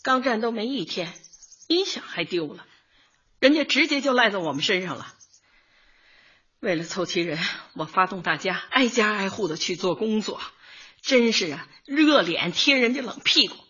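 A middle-aged neighborhood woman in a radio comedy, with a very raspy, sharp-edged voice that swings up and down. She is colloquial and grumbles, though good-hearted underneath. The recording sounds a little muffled. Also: mid-range voice, animated, moderate pace. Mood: aggrieved, weary. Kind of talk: radio drama acting, light comedy. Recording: dull lo-fi audio, faint music.

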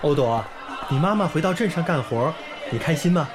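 An actor playing a young volunteer teacher in a village who speaks warmly and encouragingly. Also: male voice, fairly high pitch, lively intonation, brisk, crowd noise, natural voice. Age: young adult. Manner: warm, encouraging tone. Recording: background music under the scene.

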